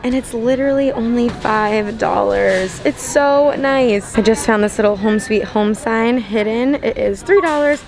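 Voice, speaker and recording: A woman's voice: fairly high pitch, measured pace, few pauses. Bubbly young woman gushing while shopping. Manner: bubbly, gushing, many "like" fillers. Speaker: shopping vlogger. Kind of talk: casual shop-with-me narration. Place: large retail store, indoors. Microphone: handheld phone camera.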